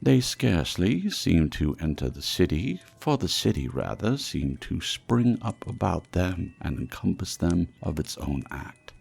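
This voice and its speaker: Deep, gravelly male story narrator. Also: sing-song, measured pace, hardly any pauses, a little mellow. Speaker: audiobook reader. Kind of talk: dramatic scripted narration of a classic ghost story.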